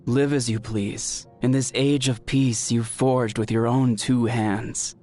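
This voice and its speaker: Man as a solemn, slow-speaking ancestral spirit. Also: mid-range voice, little pitch movement, warm tone, close to an everyday voice. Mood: solemn, tender. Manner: measured, solemn, tender. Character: solemn, tender fatherly ancestral spirit, a former leader. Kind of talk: video game voice acting.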